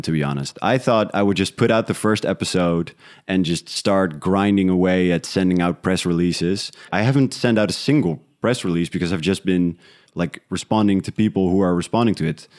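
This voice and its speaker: A man from the Netherlands with a fairly low voice speaks as an interview guest. His talk is casual and reflective, like an unscripted conversation. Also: moderate pace. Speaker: interview guest, audio drama creator.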